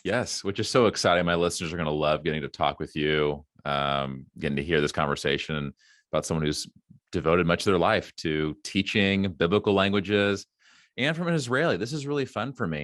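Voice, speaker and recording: Man, host, very low voice, dry close sound, friendly questions. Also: brisk, a bit bright, no room sound. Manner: friendly, asks long questions, laughs often. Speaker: podcast host. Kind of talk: casual interview chat.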